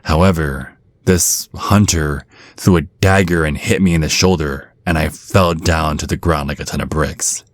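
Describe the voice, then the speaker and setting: A man's voice: extremely low pitch, steady tone, normal speed, dry recording, clean studio sound. A man playing a grumpy, protective centuries-old vampire bodyguard in an intimate ASMR roleplay, speaking in an earnest, brooding way. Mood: somber, reminiscing.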